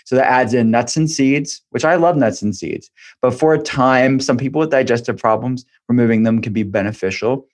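A man's voice: mid-range voice, brisk. A man speaking as an expert guest in an interview, explaining point by point and repeating phrases for emphasis. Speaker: guest expert, functional medicine practitioner.

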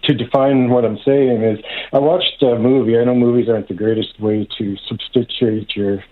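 A man phoning in to a radio show, rambling hesitantly through his comment with many pauses. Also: mid-range voice, steady tone. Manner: halting, hesitant, meandering. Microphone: telephone. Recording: thin, band-limited phone line.

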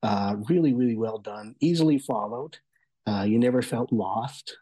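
A man's voice: medium pitch, moderate pace, warm tone, husky, low-quality recording. A man giving an enthusiastic, rambling conversational review as a guest on a talk show. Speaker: guest reviewer of audio entertainment.

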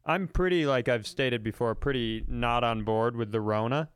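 A man hosting a comedy podcast, telling stories in casual banter with animated, profane, exaggerated incredulity. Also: medium pitch, moderate pace, clean tone, no echo.

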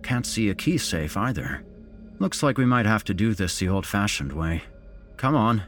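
A man's voice: on the low side, very animated, moderate pace, a bit bright, soft background music. A man voicing a jovial, teasing police officer on a night call, in audiobook dialogue with a blasé, joking manner. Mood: matter-of-fact.

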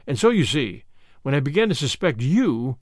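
A man's voice: medium pitch, very animated, normal speed, no room sound, clean studio sound. A man voicing a small, mild, shrewd country priest in a storybook, speaking simply with a timid, apologetic manner. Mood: mild, simple.

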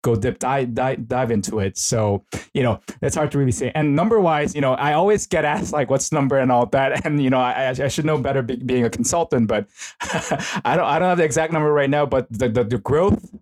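A man's voice: medium pitch, fairly quick, a little mellow. Middle-aged man with a Korean accent. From South Korea. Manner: hesitant, rambling, informal phrasing. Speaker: esports consultant and professor, interview guest. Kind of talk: casual conversational interview.

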